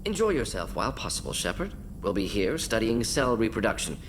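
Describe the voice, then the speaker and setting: A man voicing a brisk, eccentric alien scientist-doctor in a video game, speaking in clipped, deadpan clinical phrases with dry amusement. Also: fairly low pitch, background noise. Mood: playful deadpan.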